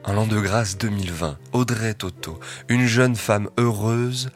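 An actor playing a grandiose, wry storyteller narrating a satirical radio drama. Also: male voice, on the low side, a little slow, lightly acted. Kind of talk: mock-epic scripted narration. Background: prominent music.

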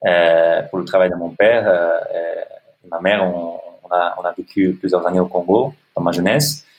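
An Italian man in his thirties, a non-native French speaker, answering interview questions in a halting way with many fillers and restarts. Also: sits low, fairly even intonation, a little mellow. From Italy. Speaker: podcast guest, social entrepreneur. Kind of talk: hesitant conversational interview answers.

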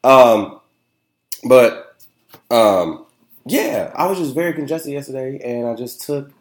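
A man's voice: medium pitch, expressive, slow. A young man hosting a casual solo podcast, congested and nasal, rambling and chatty with lots of fillers. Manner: rambling and chatty, keeps asking "you know what I'm saying". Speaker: podcast host. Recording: roomy, echoey room sound.

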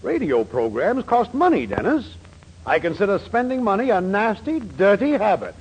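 Grumpy, swooping radio-comedy store boss. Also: male voice, high-pitched, sing-song, normal speed. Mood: sour, disdainful. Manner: curt, sour, fussy. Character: grumpy, stingy middle-aged male store boss. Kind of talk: 1940s radio sitcom acting. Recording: very noisy old 1940s radio recording.

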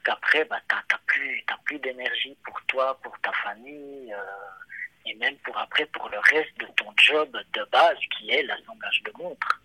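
Swiss French man, very bright voice, dry close sound, hesitant casual interviewee. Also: medium pitch, a little slow, breathy edge, dry recording, rough recording. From Switzerland. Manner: hesitant, many fillers and restarts. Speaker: watchmaker and guest.

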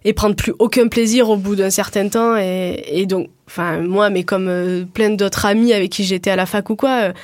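A woman's voice: medium pitch, steady tone, normal speed, hardly any pauses, no room sound, clean studio sound. A woman in her thirties, a guest coordinator in a casual radio interview, thinking aloud with many restarts and fillers. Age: 30s. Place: radio studio.

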